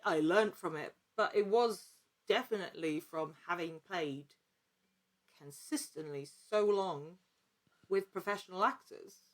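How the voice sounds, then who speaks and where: Lower-voiced woman chatting casually. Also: a little slow. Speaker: podcast guest, actor and producer in actual play. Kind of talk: casual conversational interview, anecdotal.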